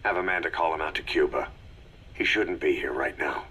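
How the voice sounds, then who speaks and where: A man playing a gruff, stoic, terse mercenary commander in a video game audio drama, with a gravelly, husky voice. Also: a bass voice, a little fast, bright, forceful tone, heavily acted. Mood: grim, terse.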